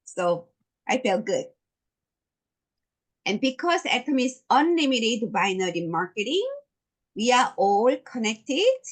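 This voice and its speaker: Emphatic Korean-accented woman, slow and animated. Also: fairly high pitch. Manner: emphatic, repetitive, deliberate. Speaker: network marketing presenter. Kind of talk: motivational business presentation.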